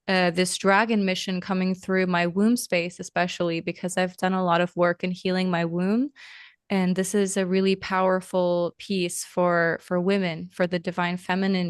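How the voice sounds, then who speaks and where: A woman's voice: on the low side, clean tone, no room sound, studio quality. A young woman who grew up between Lithuania and the US, talking earnestly and meanderingly as a podcast guest, with frequent fillers. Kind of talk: casual interview conversation.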